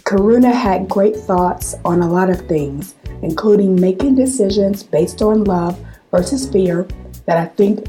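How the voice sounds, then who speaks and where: A woman hosting a podcast, her voice hoarse and scratchy from a cold. Her tone is low and mellow, and faint music plays behind her. She reads a scripted introduction and then asks her guest short questions. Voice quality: deep, scratchy voice. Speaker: podcast host. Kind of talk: podcast interview.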